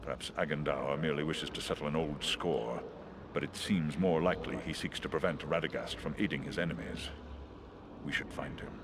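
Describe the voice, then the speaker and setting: An actor voicing a wise, solemn great eagle in a fantasy game, with a measured, archaic way of speaking. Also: male voice, very deep voice. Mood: grave counsel. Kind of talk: fantasy video game cutscene acting. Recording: clear background ambience noise.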